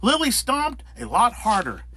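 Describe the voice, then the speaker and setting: A man narrating a children's picture book in a theatrical, exaggerated, deliberate way. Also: very high-pitched, huge pitch swings, sharp tone, slight background noise. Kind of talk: animated scripted picture-book reading.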